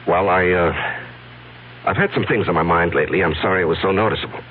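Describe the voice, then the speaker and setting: An actor playing a troubled young man with a secret past, earnest and halting, in a 1970s radio drama. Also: low voice, a little hiss or hum, natural voice. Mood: nervous politeness. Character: anguished young man hiding a criminal past. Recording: muffled, humming old radio recording.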